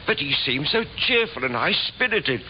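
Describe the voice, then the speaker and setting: A timid old doorkeeper in a 1950s radio play, deferential and prone to stammer. His voice sits fairly high and moves up and down nervously, on a dull, noisy old recording. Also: big pitch swings, moderate pace. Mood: deferential, earnest. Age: older. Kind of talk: 1950s radio drama acting.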